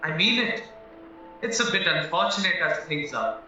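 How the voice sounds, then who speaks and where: Guy acting a nervy innocent young man accused of murder, high lively voice, rambling audio play delivery, muffled and echoey. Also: moderate pace, exaggerated voice. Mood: astonished. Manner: earnest, rambling, protesting. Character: naive, eager, anxious married man accused of murder. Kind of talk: stage-style audio drama acting. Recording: very echoey, muffled, distant, music underneath.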